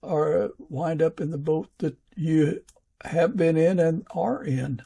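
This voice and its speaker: A man hosting a casual interview, thinking aloud and pausing between phrases as he responds to his guest. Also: a little high, vocal fry, mellow, slightly rough. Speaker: podcast host, interviewer.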